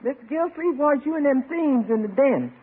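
Very high, swooping radio housemaid. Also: animated, normal speed. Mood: brisk. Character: lively, outspoken household maid, a woman. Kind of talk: 1940s-50s radio comedy acting. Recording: muffled vintage radio broadcast recording.

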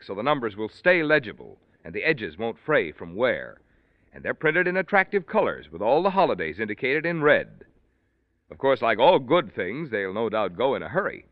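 Animated old-time radio announcer man. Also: medium pitch, no echo. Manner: polished, salesman-like. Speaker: sponsor announcer. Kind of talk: 1940s radio commercial pitch. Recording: dry, muffled old radio recording.

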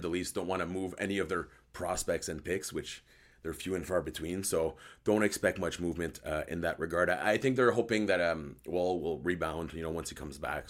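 A man with a hoarse voice hosting a casual live sports chat show, joking and thinking aloud as he answers viewers. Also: deep voice. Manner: joking, conversational, thinking aloud with repeated words. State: hoarse voice after a night outdoors. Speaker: host of a hockey post-game recap show.

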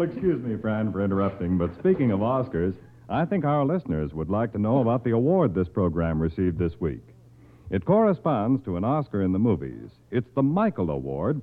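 Man, mid-range voice, formal 1950s network announcing, animated intonation, vintage radio recording. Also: mid-range voice. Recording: tinny, narrow old radio recording.

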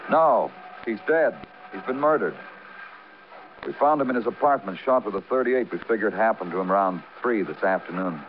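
Weary American city detective played by a male actor, radio noir: lowish, swinging delivery, terse and poetic. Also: expressive, normal speed, a little hiss or hum, close to an everyday voice. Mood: terse, probing. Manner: terse questions, brooding rhythmic narration. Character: weary, poetic big-city police detective narrating his case. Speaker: lead actor and narrator. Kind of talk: 1950s radio noir acting. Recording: muffled vintage radio recording with music.

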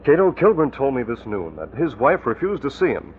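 An actor playing a decisive, cool crime-fighter in a 1940s radio drama, brisk and authoritative. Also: male voice, mid-range voice, very animated, a little hiss or hum, close to an everyday voice. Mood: explaining. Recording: muffled old radio recording.

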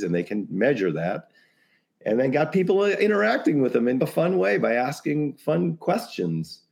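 A man's voice: lowish voice, vocal fry, darkish tone. A man talking casually as a podcast guest, brainstorming ideas in a rambling way with jokey asides. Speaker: podcast guest, creative compliance consultant.

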